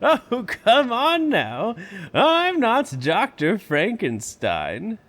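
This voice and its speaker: A man's voice: high voice, heavily acted. A man playing a grandiose, cheerful old park founder in an audio drama, with a theatrical, persuasive manner. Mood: amused, defensive. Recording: music under the voice. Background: music.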